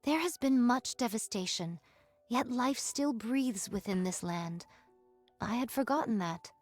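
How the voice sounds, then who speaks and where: Solemn, high-voiced swordswoman, formal and archaic. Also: expressive, measured pace, soft background music. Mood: solemn, reflective. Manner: formal, old-fashioned wording. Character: solemn archaic-speaking android swordswoman turned would-be farmer. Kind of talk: anime game voice acting.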